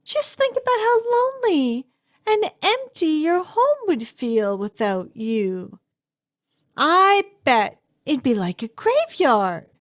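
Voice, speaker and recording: Woman voicing an obsessive yandere captor, high-pitched, very slow and halting, doting, theatrical, sweetly menacing, ASMR roleplay. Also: sing-song, exaggerated voice. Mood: pleading, gushing. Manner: gushing, cutesy, theatrical, halting. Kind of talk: ASMR roleplay acting addressed to the listener.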